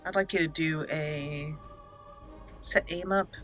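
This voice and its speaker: Bright-voiced woman doing game commentary. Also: low-pitched, steady tone, takes it slowly, soft background music. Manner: chatty, talks aloud to game characters. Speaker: gaming channel host. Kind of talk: casual let's play commentary.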